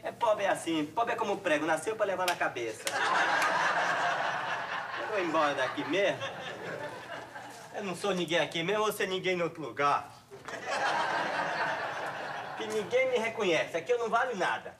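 A man's voice: sits high, animated, normal speed, pauses often, recorded from a distance, heavy background noise. A Brazilian man rambling through a self-pitying comic story in a sketch. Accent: Brazilian Portuguese. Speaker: comedian in a sketch.

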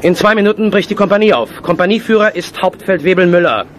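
An actor playing a dutiful, anguished army lieutenant in a war radio drama. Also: male voice, high-pitched, fast, slight background noise, natural voice. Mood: firm.

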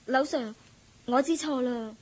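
A woman's voice: high-pitched, unhurried, darkish tone. A woman with a Hong Kong Cantonese accent playing a frightened, contrite schoolgirl in a radio drama, speaking meekly. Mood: subdued. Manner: meek, subdued.

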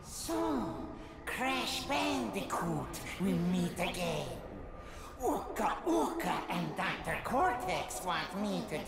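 A man voicing a taunting, vengeful cyborg scientist in a video game, nasal and creaky, with a halting sneer. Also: high voice, echoey room, exaggerated voice. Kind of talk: video game cutscene acting.

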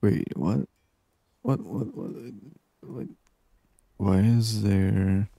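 A man doing soft-spoken ASMR gaming commentary, murmuring and musing aloud to himself close to the microphone. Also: lowish voice, very slow, creaky, slightly rough, no echo. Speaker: gaming channel host. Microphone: close microphone, dry.

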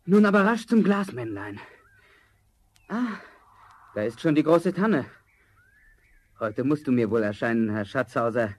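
A man playing a naive, excitable, ambitious young charcoal burner, speaking eagerly and theatrically in a vintage fairy-tale radio play. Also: medium pitch, measured pace, pauses often. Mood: hopeful, reciting.

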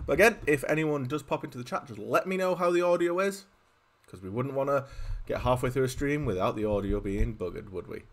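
A young man from Yorkshire talks loosely to his stream chat, rambling from one aside to the next and poking fun at himself. He speaks at an ordinary middle pitch into a desk mic at home. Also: a little fast. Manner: chatty, rambling, self-deprecating asides. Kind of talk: casual live-stream chat. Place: home room.

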